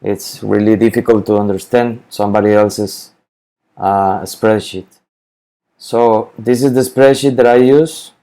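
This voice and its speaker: A man talks through a software tutorial at an unhurried pace, stumbling and repeating words as he searches for them. His voice is low and mellow. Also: steady tone. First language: Spanish. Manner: halting, repeats words, many fillers. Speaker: spreadsheet tutorial maker. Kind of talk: screen-recorded software walkthrough.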